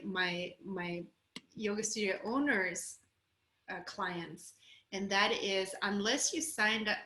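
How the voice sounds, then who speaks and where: A woman's voice: mid-range voice, pushed and bright, echoey room, low-quality recording. A woman with a Russian accent coaching a group on a video call, candid and encouraging. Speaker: course coach.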